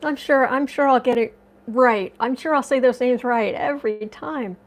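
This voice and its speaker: A woman with a fairly high voice chats to her viewers, reading comments aloud. Her voice is a little raspy from allergies, and she rambles and restarts her sentences in a friendly, casual way. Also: moderate pace. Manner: rambling, self-correcting, chatty with viewers. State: slightly raspy from dust allergies. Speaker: tarot and astrology livestream host.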